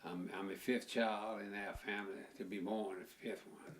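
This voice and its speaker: A man's voice: lowish voice, steady tone, moderate pace. An eighty-year-old man from Virginia, recalling his family's farm life in an oral history interview. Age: 80s.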